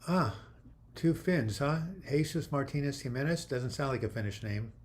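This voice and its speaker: An older man hosting a live video chat, reading viewer comments aloud and replying in a friendly, halting way with frequent restarts. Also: medium pitch, animated. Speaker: livestream host answering viewer comments.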